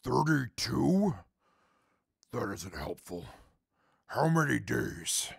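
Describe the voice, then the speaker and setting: Stern, very gravelly commander, played by a man. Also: mid-range voice, slow pace, no echo, clean studio sound, heavily acted. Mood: disdainful, impatient. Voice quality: very gravelly, husky. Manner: curt, commanding, deadpan, disdainful. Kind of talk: comic post-apocalyptic audio drama acting.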